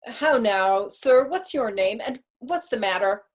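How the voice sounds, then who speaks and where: Woman as male deputy judge, stern, measured, authoritative, husky, Shakespearean. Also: on the high side, expressive. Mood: questioning. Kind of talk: Shakespearean staged reading.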